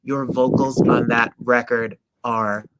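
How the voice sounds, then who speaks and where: A man's voice: mid-range voice, flat delivery, takes it slowly, a bit bright, dry recording, low-quality recording. Effusive middle-aged male host. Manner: effusive and gushing with praise. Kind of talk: casual interview chat.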